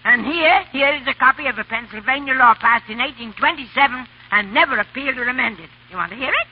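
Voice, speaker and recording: An actress playing a sharp-tongued, imperious old grandmother in a 1930s radio comedy, scolding and blustering. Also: sits high, quick pace, husky. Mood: triumphant. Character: bossy, sharp-tongued old grandmother, rich family tyrant. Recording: muffled 1930s radio broadcast.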